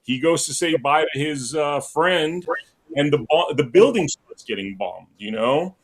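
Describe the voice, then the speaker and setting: A man's voice: a little high, expressive, a little slow, husky. A man from Los Angeles hosting a podcast interview in a casual chatty way, often saying "man" and "like". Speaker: podcast host.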